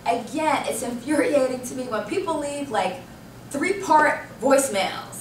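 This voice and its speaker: An American woman presents a workshop in a noticeably high voice. She is animated and emphatic, tossing questions to the group. The sound is echoey and picked up from a distance, with faint noise behind her. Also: measured pace. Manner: animated, emphatic, asks and answers own questions. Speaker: corporate trainer. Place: indoor training room. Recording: roomy, distant microphone with background noise.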